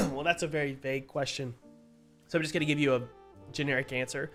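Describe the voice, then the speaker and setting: A young man hosting a casual live stream as an indie game developer, a bit hoarse from a cold, talking loosely with lots of fillers and restarts. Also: a little high, fairly even intonation, a little fast, a bit bright, soft background music. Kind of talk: casual live-stream chat answering viewers. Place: home studio. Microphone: studio mic.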